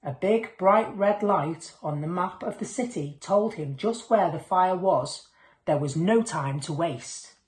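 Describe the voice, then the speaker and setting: A woman's voice: fairly low pitch, unhurried. A woman reading a children's picture book aloud as a storyteller, expressively acting out the dialogue. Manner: expressive, acts out dialogue and sound words. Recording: echoey room.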